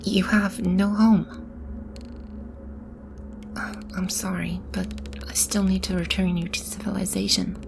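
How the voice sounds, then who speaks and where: A woman playing a gentle, protective demon in an ASMR roleplay, speaking softly and soothingly with a breathy, whispery delivery. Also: mid-range voice, level tone, noisy background, natural voice. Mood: concerned, guarded. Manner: soft, unhurried, soothing reassurance. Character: gentle protective female demon sheltering a lost child. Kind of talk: ASMR roleplay, soft-spoken with whispery passages. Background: ambient sound effects.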